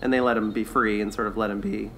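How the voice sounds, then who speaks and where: A man's voice: lowish voice, little pitch movement, fast talker, fairly bright tone, slight background noise. A man co-hosting a podcast, talking in an enthusiastic, chatty way in a casual review discussion. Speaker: podcast co-host.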